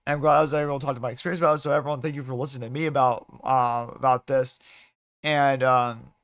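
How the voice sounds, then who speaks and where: A man with a slightly hoarse voice chatting casually as a livestream host, in a halting way with repeated and restarted phrases. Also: mid-range voice, little pitch movement, fairly bright tone, no echo, clean studio sound.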